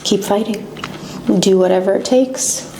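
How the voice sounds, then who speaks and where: A woman from Canada being interviewed as a guest, speaking in short, halting phrases. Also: medium pitch, mellow. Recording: echoey room with faint noise.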